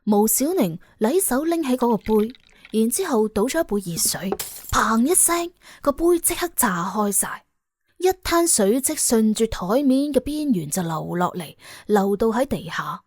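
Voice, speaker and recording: A woman's voice: fairly high pitch, expressive, unhurried, darkish tone, no room sound, clean studio sound. A woman with a Cantonese accent reading a scripted suspense audiobook as its narrator.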